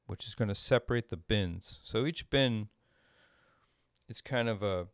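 A middle-aged man giving an informal tutorial at his desk, thinking aloud in a halting way as he explains. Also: on the low side, smooth, no room sound, clean studio sound. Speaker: composer demonstrating audio programming. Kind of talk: informal screen-share tutorial. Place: small indoor room at a desk.

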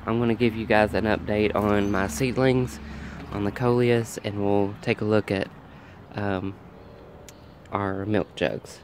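Man, lowish voice, nasal and stuffed up, casual chatty garden tour, outdoors with faint birds behind. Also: steady tone, measured pace, slight background noise. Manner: chatty, thinking aloud while filming. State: congested from allergies. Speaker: garden vlogger. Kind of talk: casual garden walk-through.

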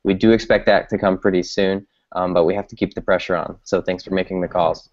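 A man's voice: sits low, fairly even intonation, quick pace. A young man in his twenties, a campaign organizer, explaining things on a video call with many ums, from a room at home through earphones. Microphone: earphone headset on video call. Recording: dull lo-fi video call sound.

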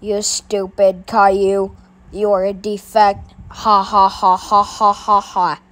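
A woman's voice: mid-range voice, level tone, takes it slowly, a bit bright, pitched below the performer's own voice. A woman voicing a sneering bully boy who hurls mocking insults and laughs at a child. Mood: taunting. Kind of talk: homemade cartoon skit voice.

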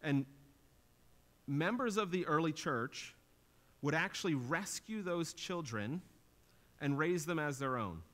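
A man's voice: fairly high pitch, lively intonation, slow, long pauses, fairly bright tone. Man preaching an earnest sermon. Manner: earnest teaching tone, rising for emphasis. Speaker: pastor. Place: small church stage with drum kit.

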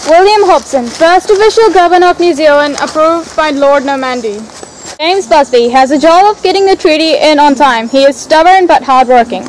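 A woman's voice: sits high, lively intonation, moderate pace, slight background noise, poor audio. A young teenage girl reading narration for a school history video, careful and recited. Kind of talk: read-aloud school narration.

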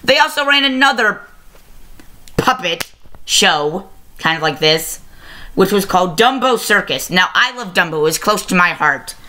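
A young adult giving a casual video review to camera, halting and often self-correcting, recorded on a webcam mic in a small room. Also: medium pitch, expressive, sharp tone. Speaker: review show host. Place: small plain-walled room indoors. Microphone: laptop webcam microphone at arm's length.

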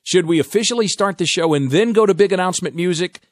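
A man hosting a radio talk show in a studio, animated and folksy, often tripping over and repeating his words. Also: high voice, fast talker, dry recording. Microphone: studio microphone.

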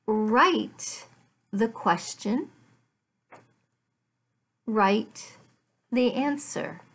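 A middle-aged woman teaching English to learners, speaking deliberately in short, carefully enunciated phrases with pauses between them. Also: a little high, animated, a bit bright. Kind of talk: slow language lesson for learners. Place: small living room at home, indoors.

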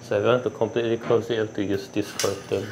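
A man's voice: on the low side, flat delivery, rapid-fire. A man vlogging casually with a handheld camera, talking loosely with many fillers, first in a hotel room and then out on a city street. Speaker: travel vlogger. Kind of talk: casual walking vlog. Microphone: handheld camera on small tripod. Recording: loud street and room noise.

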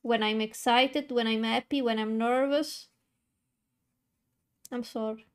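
A young woman chatting on stream in an unhurried, drawn-out way, her voice sitting a little high. She stutters and restarts often, filling gaps with uh and um as she talks casually to her viewers. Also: steady tone, smooth. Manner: stutters, many fillers and restarts. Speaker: VTuber streamer. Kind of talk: casual live-stream chat while coloring.

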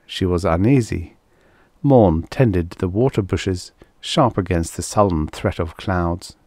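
A man reading literary science fiction as an audiobook narrator, with measured, expressive delivery and deliberate phrasing. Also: sits low, no room sound, studio quality.

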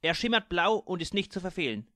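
A man's voice: high voice, very animated, brisk, pushed and bright, no room sound, studio quality, voice pushed up, heavily acted. A man doing the prickly alien Thorium. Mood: deadpan.

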